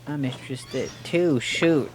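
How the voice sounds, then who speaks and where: A woman's voice: sits low, takes it slowly, a little hiss or hum. A woman game streamer giving casual, amused live commentary in short interjections. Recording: game music behind.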